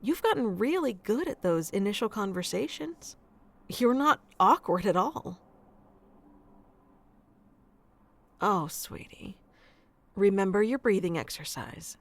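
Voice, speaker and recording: A woman's voice: mid-range voice, big pitch swings, a little slow, gravelly, no echo, heavily acted. A woman playing a sweet, nurturing AI relationship coach in an ASMR roleplay, speaking tenderly and reassuringly to one listener. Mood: soothing, encouraging.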